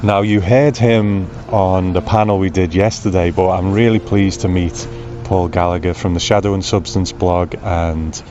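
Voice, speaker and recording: Male host, low voice, chatty and encouraging, casual interview, muffled outdoor recording. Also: fairly even intonation, normal speed, few pauses, soft background music. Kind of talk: casual on-location interview chat. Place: outdoors in a park. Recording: dull sound with loud outdoor ambience.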